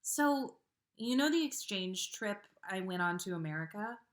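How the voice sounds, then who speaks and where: A woman's voice: medium pitch, expressive, unhurried, natural voice. A woman voicing an awkward, impatient, bookish teenage girl in a story, hesitant and easily exasperated. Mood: sheepish.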